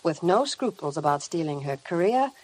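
A woman's voice: on the low side, big pitch swings, normal speed, darkish tone, slight background noise, poor audio. An American woman reporting on 1950 radio, reading scripted news and ads in a gushing, promotional manner.

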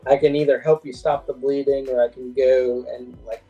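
A man's voice: mid-range voice, little pitch movement, moderate pace, warm tone, slightly rough, dull audio, faint music behind. A man chatting casually as a guest over a video call from a room at home. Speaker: guest co-host.

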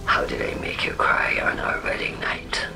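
A woman voicing a cold, menacing machine-like conductor in a video game, clinical and detached, in a whispery, creaky voice. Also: moderate pace, music in the background, lightly acted. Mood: cold, probing. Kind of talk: dramatic video game dialogue.

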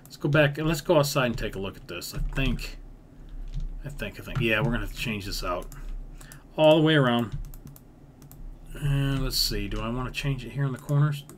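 A man's voice: medium pitch, moderate pace, pauses often. Man thinking aloud over background noise. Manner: thinking aloud, repeating himself, folksy grammar. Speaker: gaming video creator. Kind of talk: casual Minecraft building commentary. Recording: background noise with keyboard typing.

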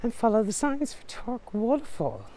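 A woman's voice: a little high, huge pitch swings, moderate pace, dark tone. An Irish woman tour guide chatting directly to viewers as she walks outdoors with a handheld microphone. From Ireland. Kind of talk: walking tour vlog. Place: outdoors in woods and on a lakeshore.

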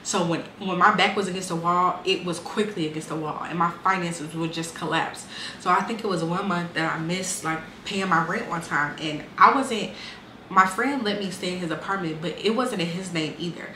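A woman's voice: on the low side, normal speed, bright, forceful tone, echoey room, a little hiss or hum, low-quality recording. A woman in her twenties casually and candidly telling her life story, with lots of "um" and "like". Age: 20s. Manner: candid, conversational, frequent fillers and restarts.

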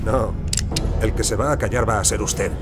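An actor playing a proud, demanding American senator and collector with a pompous, theatrical manner in an audio drama. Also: male voice, lowish voice, moderate pace, very noisy, lightly acted. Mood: indignant, demanding.

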